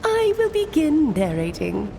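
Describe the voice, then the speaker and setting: A woman's voice: high voice, wildly swooping pitch, measured pace, gravelly, noisy background, heavily acted. A woman playing a playful, theatrical sorceress and storyteller in an anime game, whimsical and grandly dramatic. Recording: background music over voice.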